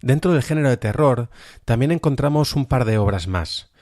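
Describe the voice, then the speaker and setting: A man's voice: medium pitch, animated, moderate pace, a little mellow, dry recording, clean studio sound, faint music behind. A man presenting a podcast review of audio fiction in an informal, emphatic way. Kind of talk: review narration.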